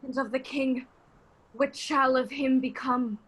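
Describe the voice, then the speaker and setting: Woman as high-voiced, ambitious conjuring noblewoman. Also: fairly even intonation, slightly rough. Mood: commanding. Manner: deliberate, incantatory, swinging between hushed and declaimed. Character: ambitious, defiant noblewoman conjuring spirits, a duke's wife. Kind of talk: Shakespearean verse in an online staged reading.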